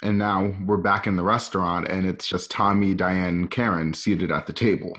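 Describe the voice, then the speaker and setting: A man's voice: low-pitched, steady tone, normal speed, a bit bright. A man narrating scene directions in a dramatic scripted reading. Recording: dull lo-fi home call audio.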